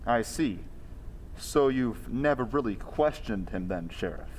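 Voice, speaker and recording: An actor playing a calm, bold gentleman investigator in an old-time radio mystery, speaking in a measured, formal way. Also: mid-range voice, natural voice. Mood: composed questioning. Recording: reverberant hall, background hiss.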